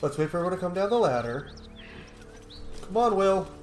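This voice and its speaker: A man's voice: high-pitched, animated, fairly quick. A man who is sick, giving informal, casual commentary as he plays a video game. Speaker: gaming streamer. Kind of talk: casual let's-play commentary. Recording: roomy, with faint music behind.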